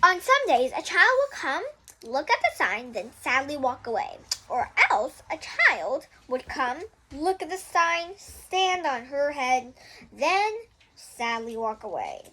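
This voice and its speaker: High, animated young girl reading aloud. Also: slow pace, rough recording. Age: child. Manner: explains and digresses often. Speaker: host reading a children's book aloud. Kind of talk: casual read-aloud with chatty asides to viewers.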